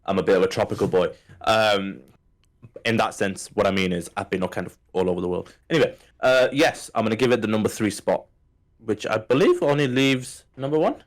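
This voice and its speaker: A man's voice: on the low side, a little fast. A man from Hong Kong co-hosting a casual gaming podcast, joking and playful. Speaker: podcast co-host.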